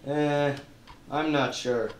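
A man's voice: on the high side, steady tone, slow pace, roomy sound. A man narrating and acting as a wisecracking detective in a church radio play. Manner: wisecracking, hard-boiled narration.

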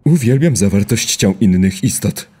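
A man's voice: lowish voice, animated, moderate pace, darkish tone, slightly rough. A young man playing an earnest, anxious wolf student in a comedic anime parody dub, speaking hesitantly with stammers. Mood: glum. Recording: music behind the voice.

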